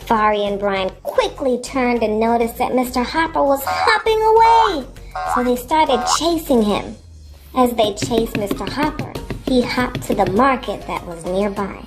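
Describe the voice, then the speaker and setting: A woman narrating a children's story in an expressive, deliberate, instructive way. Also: on the high side. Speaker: storyteller and reading teacher for children. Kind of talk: animated children's read-aloud narration. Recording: music playing behind. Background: music.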